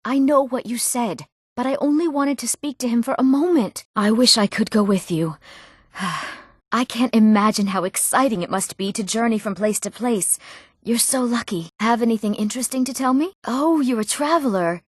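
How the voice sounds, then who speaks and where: An actress voicing an eager, earnest young townswoman in a fantasy video game, speaking clearly and expressively. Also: fairly high pitch, moderate pace, no room sound, clean studio sound. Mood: eager, curious. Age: young adult. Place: recording studio.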